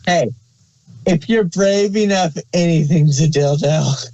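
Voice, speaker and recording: Male co-host, very unhurried casual delivery, crude joker, dull low-fidelity recording. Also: dark tone. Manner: joking, crude humour. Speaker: podcast co-host. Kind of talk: casual chat.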